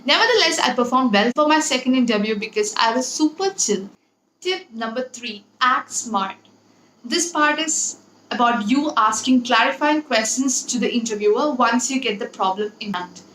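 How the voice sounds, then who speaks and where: High-pitched young woman, echoey vlog. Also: animated, normal speed, a bit bright. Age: young adult. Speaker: YouTube host giving interview tips. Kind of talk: casual scripted advice vlog. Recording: echoey room with background noise.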